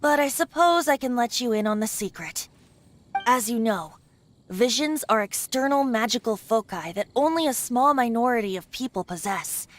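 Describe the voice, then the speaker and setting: A woman's voice: a little high, expressive, normal speed, natural voice. A woman voicing a youthful, boyish male bard in a video game, airy, musing and confiding. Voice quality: light, boyish. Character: wistful, playful youthful bard secretly a wind god, male. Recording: faint background noise.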